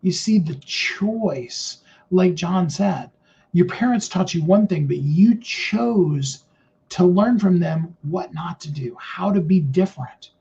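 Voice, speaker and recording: A middle-aged man with a naturally high voice giving an earnest, rambling motivational talk with many fillers and restarts. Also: animated, takes it slowly, dark tone, dull audio. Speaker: motivational speaker.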